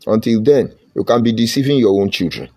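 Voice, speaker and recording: A man's voice: fairly low pitch, lively intonation, fairly quick, dark tone. A Nigerian man giving a fervent, combative political monologue, slipping into Pidgin phrases. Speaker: political commentator.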